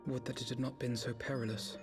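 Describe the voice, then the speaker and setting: A man acting an earnest young nobleman in a video game drama. He speaks formally and eloquently, measured and heavy with grief, at a middling male pitch. Prominent music plays beneath him. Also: little pitch movement, natural voice. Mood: grave, sorrowful. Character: earnest young nobleman, grieving for his injured twin sister.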